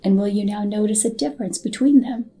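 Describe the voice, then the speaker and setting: A woman narrating a scripted hypnotic guided meditation in a soothing, soft and unhurried manner. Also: mid-range voice, husky. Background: background music.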